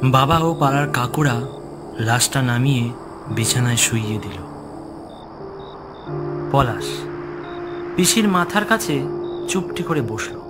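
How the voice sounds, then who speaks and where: A man's voice: mid-range voice, lively intonation, takes it slowly, long pauses. A man narrating a horror story in a dramatic, scripted storytelling style. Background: music.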